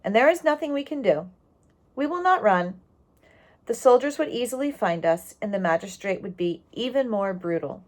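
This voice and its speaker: A woman reading the part of a wise, calm old great-grandfather, speaking in a measured, resolute way in a storybook. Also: mid-range voice, close to an everyday voice. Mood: grave, resolute. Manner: measured, resolute, addressing family.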